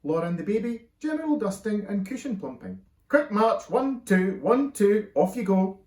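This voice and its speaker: Man playing a cheery, commanding storybook dad; lively, raised, roomy. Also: high voice, normal speed, voice pushed up. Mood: jolly, bossy. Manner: jolly, commanding like a sergeant. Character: cheerful bossy dad, father of four. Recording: roomy echo.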